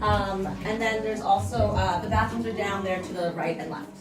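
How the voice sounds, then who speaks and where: A woman's voice: mid-range voice, fairly even intonation, moderate pace. A woman organizing an event, talking informally and apologetically as she makes casual announcements. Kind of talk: casual announcements and chat while setting up. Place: large indoor room with crowd. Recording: echoey busy hall, distant mic, loud music. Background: loud music and crowd chatter.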